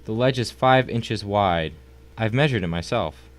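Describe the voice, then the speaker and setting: A man playing a low-voiced, suave crime boss. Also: natural voice. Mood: smug, genial. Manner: suave, mannered, drawn-out politeness. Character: smug, courteous wealthy crime boss, toying with his victim. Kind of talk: audio drama acting.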